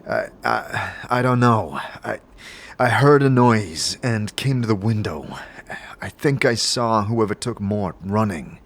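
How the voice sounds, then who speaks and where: An actor playing a terse, shaken wanderer in a fantasy audio drama, speaking in a halting, blunt way. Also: male voice, animated, full character voice. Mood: shaken, confused. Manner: halting, blunt, low-key. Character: shaken, terse immortal wanderer searching for his stolen companion. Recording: noisy ambient sound bed behind voice.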